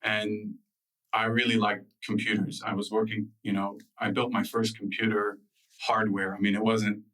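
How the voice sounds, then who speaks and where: A man's voice: fairly low pitch, level tone, moderate pace, fairly bright tone, recorded from a distance, rough recording. A man in his thirties with an American accent, a guest in an interview, telling stories about his past in a loose way with lots of fillers and restarts. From United States. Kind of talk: relaxed interview storytelling.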